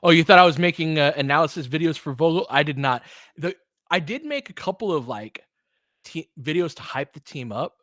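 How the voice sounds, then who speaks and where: A man's voice: fairly high pitch, lively intonation, brisk, fairly bright tone, no echo, clean studio sound. A man giving informal live basketball play-by-play and analysis, conversational and openly partisan, like a fan of the home team.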